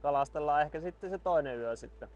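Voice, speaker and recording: A Finnish man in his thirties, a fly angler talking casually on the spot while wading in a river rapid at dusk. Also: on the high side, highs cut off. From Finland. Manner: excited shouts while landing a fish, then calm explaining.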